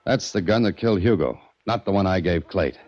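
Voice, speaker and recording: An actor playing a composed, world-weary amateur sleuth in an American accent, in 1940s radio drama style. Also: male voice, low voice, fairly quick, darkish tone, close to an everyday voice. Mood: firm. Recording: dull vintage 1940s radio recording.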